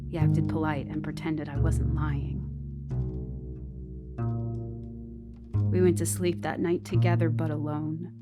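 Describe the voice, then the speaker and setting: A woman's voice: deep voice, little pitch movement, moderate pace, lightly acted. An actress playing a brooding, insecure woman who narrates her troubled romance as an intimate, bitter, haunted ghost story monologue. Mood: tense, bitter. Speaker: narrator and lead character of a horror audio drama. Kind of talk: dramatic first-person ghost story monologue. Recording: music behind the voice. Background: musical underscore.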